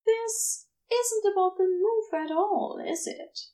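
A woman's voice: extremely high pitch, slow pace, mellow, pitched above the performer's own voice. A woman voicing a fussy, fond male angel in an audio story, with prim, formal, old-fashioned phrasing. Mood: gentle, concerned. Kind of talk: fan fiction audio reading, acted dialogue.

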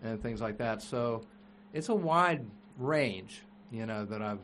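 A man's voice: mid-range voice, expressive, slow, fairly bright tone, a little hiss or hum. A man talking casually as a drummer guest, rambling through his background and humming drum patterns aloud. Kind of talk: casual chat.

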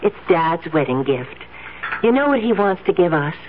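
A doting, lively rich wife. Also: female voice, lowish voice, moderate pace. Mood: sweetly firm. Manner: coaxing, gently patronizing. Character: doting, prim, controlling rich young wife. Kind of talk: 1970s radio drama acting. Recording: tinny old radio recording, faint hiss.

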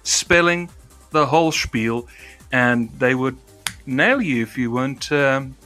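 Articulate man with bright, polished diction. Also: medium pitch, expressive, takes it slowly, soft background music. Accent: British-trained South African. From South Africa. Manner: formal, careful diction, long winding sentences. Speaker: podcast host. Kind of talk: casual podcast chat.

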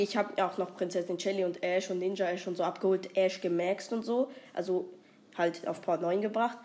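Eine erkältete Frau, die als Host eines Gaming-Podcasts locker und aufgeregt über ihr Spiel erzählt, mit vielen Füllwörtern. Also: female voice, mid-range voice. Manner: excited, rambling, many fillers. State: has a cold. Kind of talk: casual gaming vlog chat.

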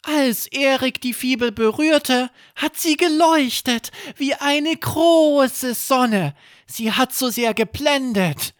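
A man voicing a tiny, timid, excitable young plush animal in a children's bedtime story. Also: very high voice, slow, hardly any pauses, no echo, studio quality, pitched above the performer's own voice. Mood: excited.

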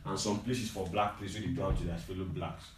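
Nigerian male, animated slangy storyteller, distant echoey mic, guitar music underneath. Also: sits low, brisk. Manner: animated, slangy, repeats himself for emphasis. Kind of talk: casual banter and storytelling in Pidgin-mixed English.

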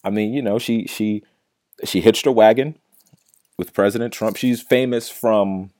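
A man's voice: on the low side, fairly even intonation, measured pace, a little mellow, no room sound, clean studio sound. A young man hosting a casual solo podcast, talking bluntly and with a lot of swearing. He stutters at times and keeps ending his points with "right?". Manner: often ends points with "right?". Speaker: podcast host.

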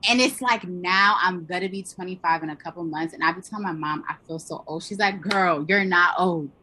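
A woman's voice: fairly low pitch, brisk, bright, forceful tone, a little hiss or hum. A woman in her twenties, from Pennsylvania, chatting on a podcast as co-host in a bubbly, talkative way. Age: 20s. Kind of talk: casual podcast chat.

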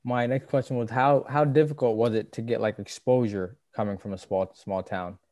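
A man hosting a basketball podcast, asking questions in an easygoing, halting way with frequent fillers. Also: medium pitch, fairly even intonation, a little mellow, no room sound, studio quality. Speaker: podcast host. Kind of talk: casual interview chat.

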